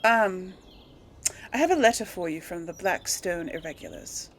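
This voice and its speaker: A woman's voice: on the low side, lively intonation, moderate pace. Woman rambling through game commentary, background noise. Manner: rambling, thinking aloud. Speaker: let's play host. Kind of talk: casual gameplay commentary.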